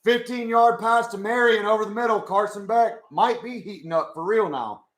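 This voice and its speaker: A young man with a hoarse voice, chatting casually with his live chat as he watches a football game. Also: high-pitched, normal speed, echoey room. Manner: chatty, swears, talks to chat. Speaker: live stream host.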